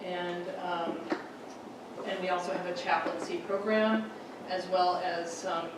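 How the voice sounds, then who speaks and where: A woman's voice: fairly low pitch, level tone, unhurried, background noise. A woman moderating a panel discussion, speaking conversationally with frequent ums. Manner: conversational, filler-heavy. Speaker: panel moderator and resettlement coordinator. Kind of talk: panel talk. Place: full lecture room. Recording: echoey room from a distant mic.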